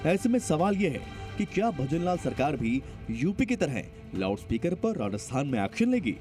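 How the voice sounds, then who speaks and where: A male reporter voices a scripted news package with dramatic emphasis. His voice is fairly high and swings widely in pitch, over a clear music bed. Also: very animated, moderate pace, darkish tone.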